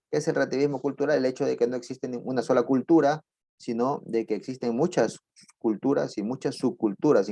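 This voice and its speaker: Middle-aged professor, mellow dark voice, digressive classroom chat. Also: male voice, medium pitch, gravelly, no echo. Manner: digressive, chatty, with asides and laughs. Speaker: university lecturer. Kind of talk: conversational online lecture.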